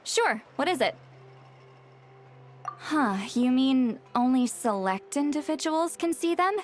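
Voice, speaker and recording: A woman's voice: sits high, expressive, takes it slowly. A woman voicing a youthful-sounding but long-lived sage in a video game, matter-of-fact, scholarly and a bit prickly. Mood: musing, scholarly. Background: faint game music.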